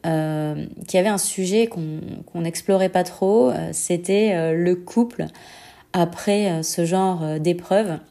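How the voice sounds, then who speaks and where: A young adult woman with a slight head cold, giving an intimate personal testimony in a hesitant, reflective way with frequent pauses. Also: lowish voice, dark tone. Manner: hesitant, reflective, pausing often. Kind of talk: intimate personal testimony, answering set questions.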